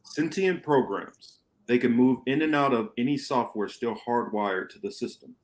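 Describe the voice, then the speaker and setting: A man plays a commanding mentor of a rebel band in a radio play. He speaks gravely and with deliberate emphasis, pausing for drama, in a medium-pitched male voice. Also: close to an everyday voice. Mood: grave, instructive. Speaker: podcast host reading a radio play. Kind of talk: amateur radio play reading.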